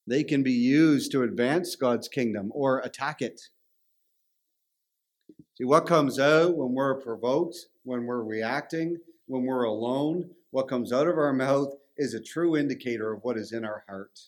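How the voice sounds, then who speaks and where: A man's voice: mid-range voice, a little slow, darkish tone. A man with a Canadian accent preaching a sermon in a conversational, storytelling way. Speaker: pastor.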